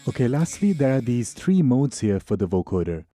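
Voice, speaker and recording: A man narrating a software tutorial in an informal, explanatory way. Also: mid-range voice, big pitch swings, normal speed, soft background music.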